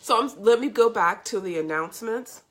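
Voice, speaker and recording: A woman's voice: a little high, expressive, moderate pace. A woman hosting a casual live stream, upbeat and effusive, greeting viewers by name, with a congested, scratchy voice from a cold. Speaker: host of a live video show.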